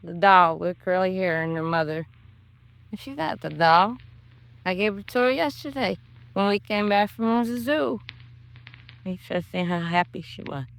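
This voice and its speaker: A woman's voice: on the low side, moderate pace, vocal fry. An actress playing a bitter, drunken, grieving mother in a 1950s radio crime drama, with slangy working-class speech and brooding repetition. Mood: grieving, self-blaming.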